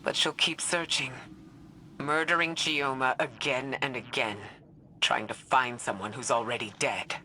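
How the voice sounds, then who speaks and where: Stern mission leader, video game, very bright hard edge, measured, determined, slight background noise. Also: male voice, medium pitch, fairly even intonation. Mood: grave resolve. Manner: grave, measured, authoritative. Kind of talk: dramatic video game dialogue.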